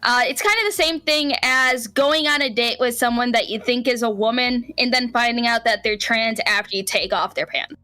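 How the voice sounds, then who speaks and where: Woman, podcast co-host, frank and chatty, bright tone, a bit high. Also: normal speed, hardly any pauses, faint music behind. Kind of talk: casual podcast chat.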